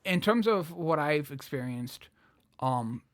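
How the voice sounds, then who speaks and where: Man telling comic stories in halting starts. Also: on the high side, animated. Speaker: comedian guest. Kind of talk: casual podcast chat, comic storytelling.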